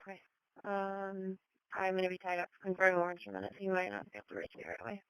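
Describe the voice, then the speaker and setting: Bright, clear woman dispatcher on radio. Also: lowish voice, sing-song, quick pace, no room sound, rough recording. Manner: clipped, matter-of-fact procedural delivery. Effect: two-way radio. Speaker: police and EMS radio dispatcher. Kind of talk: radio dispatch, giving incident details and acknowledgements. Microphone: dispatch radio microphone. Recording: close dry police scanner radio audio.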